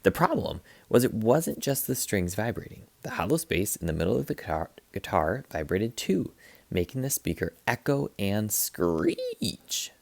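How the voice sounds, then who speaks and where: Male storyteller, low creaky voice, animated picture book read-aloud, playful delivery. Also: unhurried, no room sound. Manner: expressive, playful, acts out sound effects. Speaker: teacher reading aloud to students.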